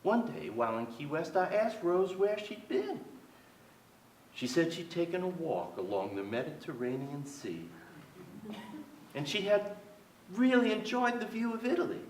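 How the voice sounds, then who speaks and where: A man's voice: on the high side, very animated, unhurried, long pauses. A man in his sixties playing a wistful, wry aging playwright, reminiscing in a theatrical, reflective staged reading. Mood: wry, fond. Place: theater stage before an audience. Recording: echoey theater stage, distant mic, faint noise.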